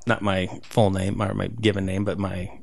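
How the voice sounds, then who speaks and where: A man from Southern California talks loosely and at length, circling back with fillers and restarts. His voice sits low with a gravelly fry, recorded close and dry. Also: little pitch movement, normal speed, no echo. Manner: rambling, many fillers and restarts. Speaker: street photographer guest. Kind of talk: casual interview conversation.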